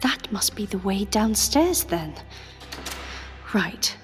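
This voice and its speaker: A woman playing a plucky, wry undercover agent, narrating confidingly to the listener in a radio drama with a deliberate delivery. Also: mid-range voice, animated, faint music behind. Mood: wry, plotting.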